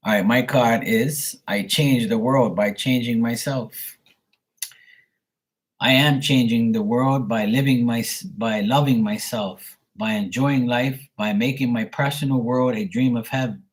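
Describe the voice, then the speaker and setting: Husky, blunt man chatting casually. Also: medium pitch, fairly even intonation, measured pace. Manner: blunt, opinionated, swears freely. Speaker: live tarot reader, streamer. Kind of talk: casual rambling live chat.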